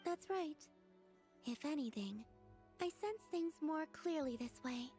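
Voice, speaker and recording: A woman voicing a serene young moon goddess in an anime game, gentle, detached and softly spoken. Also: sits high, soft background music. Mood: composed. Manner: soft, calm, unhurried.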